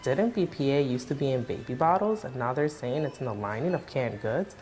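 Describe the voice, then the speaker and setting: A woman's voice: a bass voice, expressive, a little fast. A woman giving a scripted informational narration in a conversational, emphatic way. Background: music.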